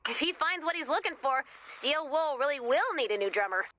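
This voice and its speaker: An eager young video game guide with a high, very lively voice. She is soft-hearted and chatty, speaking with bright, expressive energy as she leads a search. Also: a little fast. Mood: wry, concerned.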